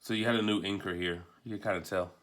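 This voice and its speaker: A man with a fairly low, very bright and forceful voice talks casually through a review. He rambles in an offhand, unscripted way, with the loose phrasing of a hobby collector chatting to viewers. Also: fairly even intonation, quick pace. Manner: offhand, rambling, mildly profane. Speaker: comic book collector reviewing a book.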